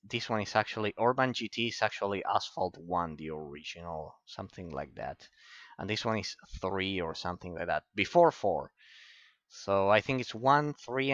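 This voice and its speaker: A man's voice: low voice, fairly bright tone, dry recording, lo-fi sound. A man with an Italian accent giving rambling, opinionated commentary on a game collection, with many restarts. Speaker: game reviewer. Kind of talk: casual commentary over game footage.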